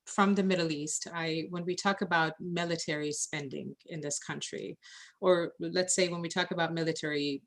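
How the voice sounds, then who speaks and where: Woman, from Iran, meeting moderator, low voice, gravelly, hesitant with fillers. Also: fairly even intonation, moderate pace. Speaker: event moderator. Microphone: video call.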